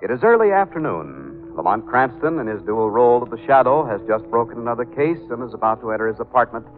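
A man's voice: mid-range voice, sing-song, quick pace. A man giving formal, dramatic scripted narration in 1940s radio style, on a tinny old recording with music.

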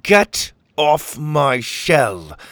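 Man playing a gruff, defiant little hero. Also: on the high side, expressive, takes it slowly, no room sound, pitched above the performer's own voice, exaggerated voice. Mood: angry, defiant. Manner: growling, terse commands. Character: gruff, defiant, protective small male adventurer hero.

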